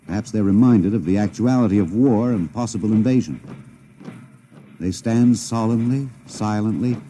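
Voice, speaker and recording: A man's voice: lowish voice, normal speed, dark tone, close to an everyday voice. A man playing a radio reporter with formal, dramatic live-commentary delivery in the style of 1950s radio drama. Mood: hushed, solemn. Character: excited male radio reporter covering a parade, growing uneasy. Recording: noisy vintage radio broadcast recording.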